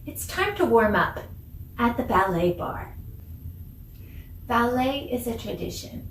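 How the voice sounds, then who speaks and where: A woman giving an encouraging, deliberate dance lesson for children, demonstrating as she teaches. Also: on the high side, animated, fairly bright tone, slight background noise. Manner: mixes English with simple Spanish phrases. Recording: echoey room, distant microphone.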